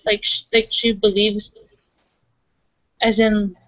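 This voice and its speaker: A woman's voice: mid-range voice, level tone, takes it slowly, a bit bright, no room sound. A woman playing a tabletop roleplaying game, chatting casually and rephrasing as she thinks aloud. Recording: dull lo-fi sound.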